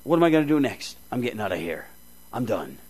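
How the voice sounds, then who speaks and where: A man's voice: medium pitch, sing-song, fast talker, darkish tone, husky, voice pushed down. A man preacher voicing a flustered, impulsive fisherman disciple who stammers and talks to himself. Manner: stammering, panicky self-talk.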